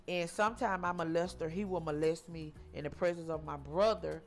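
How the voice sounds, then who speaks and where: Halting woman in her forties. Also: fairly low pitch, fairly even intonation, gravelly, faint music behind. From Georgia, US. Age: 40s. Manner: halting, repeats herself, frequent ums. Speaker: interview guest. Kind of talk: personal life story in an interview.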